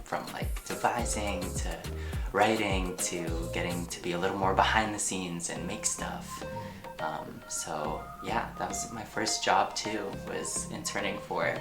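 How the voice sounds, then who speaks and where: An actor and artist speaking casually and haltingly in an interview, telling personal stories with frequent filler words. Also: deep voice. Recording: echoey room with music underneath. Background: music.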